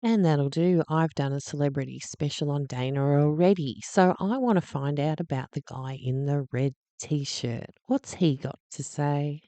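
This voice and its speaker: A woman's voice: low voice, measured pace, few pauses, warm tone. A woman chatting wryly as she hosts and comments on clips. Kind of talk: casual commentary vlog.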